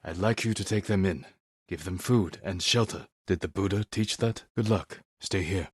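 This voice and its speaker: An actor playing a stoic, honorable samurai warrior in a video game, speaking in a measured, formal and grave way. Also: male voice, deep voice, slightly rough, no room sound, clean studio sound. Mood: calm, solemn. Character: stoic, honorable samurai warrior, adult man, solemn and protective. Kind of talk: dramatic video game acting.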